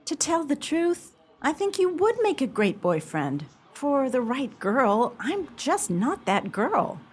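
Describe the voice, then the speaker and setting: A woman's voice: high voice, big pitch swings, slow pace, faint music behind, full character voice. An actress playing a blunt, fiery young woman in a radio play, forthright and exasperated.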